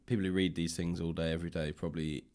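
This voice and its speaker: A man's voice: sits low, level tone, fast, a little mellow, smooth. A man interviewing in a casual, thoughtful, roundabout way as a podcast host. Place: library room.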